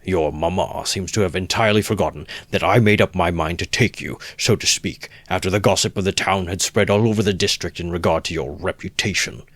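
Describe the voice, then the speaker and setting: Man playing pompous, affronted gentleman, low-voiced. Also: fairly quick, hardly any pauses, no echo. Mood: aggrieved, haughty. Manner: pompous, wordy, emphatic. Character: pompous, affronted gentleman suitor, self-righteous and petty.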